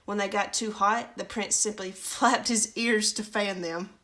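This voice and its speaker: A woman's voice: mid-range voice, steady tone, unhurried, pushed and bright. Woman reading a storybook aloud. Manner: chatty asides to viewers. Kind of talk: casual live reading of a children's storybook.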